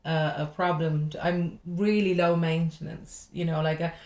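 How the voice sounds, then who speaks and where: A woman's voice: low voice, little pitch movement, unhurried, darkish tone. An Irish woman, a comedian guest, talking frankly and chattily in a casual interview. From Ireland. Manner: frank and chatty, with laughing asides. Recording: echoey room.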